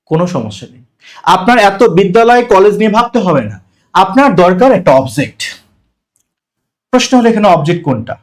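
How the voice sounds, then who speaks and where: Lively Bengali man teaching grammar. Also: fairly high pitch. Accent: Bangladeshi Bengali. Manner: explains step by step, asks and answers his own questions. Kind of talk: classroom-style grammar lesson.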